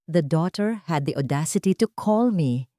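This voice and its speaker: A woman's voice: low voice, animated, normal speed, warm tone, no echo, studio quality. A woman voicing an indignant businessman in an audio drama, speaking emphatically in short, clipped sentences. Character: Cantonese businessman on phone, indignant, disgusted by treachery.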